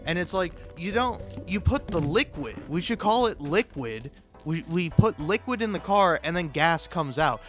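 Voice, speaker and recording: A man with a slightly high voice rambles through a casual comic monologue over faint music. He swears freely and trips over his words, repeating bits and breaking off mid-sentence to start again. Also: expressive, a bit bright. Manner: profane, halting, self-interrupting with stammered repeats; chuckles often while talking. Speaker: host of a comedic video.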